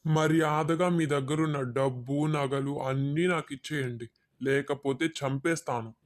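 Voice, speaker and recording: A man's voice: on the high side, fairly even intonation, a little slow, lightly acted. A man playing a ruthless, demanding forest robber in a moral-story cartoon. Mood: threatening. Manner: menacing, demanding. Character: ruthless male forest robber, gruff family man at home.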